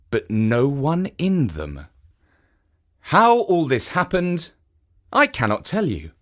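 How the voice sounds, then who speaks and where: A man reading a children's story as scripted narration, in an expressive, measured storytelling manner. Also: a little high.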